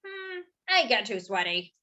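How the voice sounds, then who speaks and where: Reasonable, mildly fussy storybook man, read by a woman, reassuring and earnest, pitched high with animated inflection. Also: a little slow, full character voice. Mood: fussy, doubtful. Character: kindly, reasonable, slightly fussy grown man.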